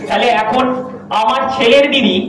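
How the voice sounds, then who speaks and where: An exasperated village husband, played by a man, sets out his troubles with theatrical insistence in a comic stage drama. His voice is very high, heard in a heavily echoing hall with a clearly audible crowd. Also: normal speed. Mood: exasperated explaining. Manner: explains step by step, insistent, theatrical. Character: exasperated village husband tangled in absurd family relationships. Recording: distant echoey hall recording with audience noise.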